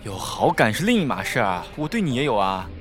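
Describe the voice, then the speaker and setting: Man acting excitable young student, swooping pitch. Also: fairly quick, soft background music, lightly acted. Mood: earnest, guarded. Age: young adult. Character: young male college student, excitable, easily flustered, indignant. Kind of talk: campus audio drama acting.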